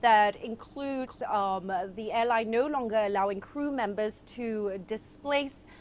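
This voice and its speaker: A woman's voice: fairly high pitch, unhurried, darkish tone. A woman delivering broadcast business news as a reporter, in a polished, emphatic manner. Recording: music under voice, some location noise.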